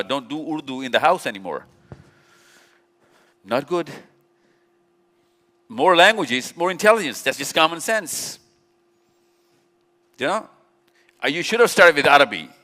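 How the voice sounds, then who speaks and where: A man's voice: fairly high pitch, very animated, moderate pace, pauses often, bright, forceful tone. A man speaks live to an audience, direct and teasing, often repeating himself. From Pakistan. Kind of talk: live talk with audience interaction. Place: large event hall. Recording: long hall reverb at a live event.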